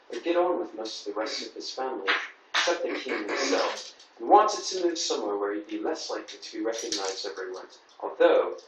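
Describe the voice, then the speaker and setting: A man reading a story aloud as narrator at an amateur dramatic reading. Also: fairly low pitch. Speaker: amateur reader at writers' society. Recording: echoey room, distant mic, faint noise.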